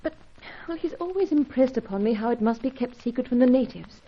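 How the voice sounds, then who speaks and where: A woman's voice: on the high side, lively intonation, fairly quick. An actress playing an ingenuous young woman, a scientist's devoted daughter, in a radio adventure serial, earnest, anxious and dramatic. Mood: earnest, confiding. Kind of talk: old-time radio adventure drama acting. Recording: dull, echoey vintage radio recording.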